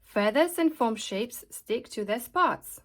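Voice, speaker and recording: A woman narrating a children's picture book aloud in an expressive, deliberate way. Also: a little high. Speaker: storybook reader and host. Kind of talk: scripted children's storybook narration.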